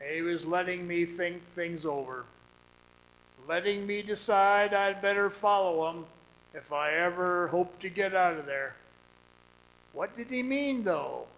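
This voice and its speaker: An actor playing an anxious, halting miner trapped underground in a suspense radio drama. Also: male voice, high voice, fairly bright tone, low-quality recording. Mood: haunted, brooding. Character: frightened trapped miner, jittery, recounting his ordeal. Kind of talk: first-person suspense radio drama acting. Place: performing arts center stage.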